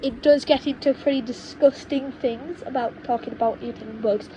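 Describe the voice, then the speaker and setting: A woman's voice: fairly even intonation, normal speed, dark tone. A woman narrating a storybook as an audiobook reader, occasionally stumbling and repeating words. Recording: noisy low-quality home microphone recording.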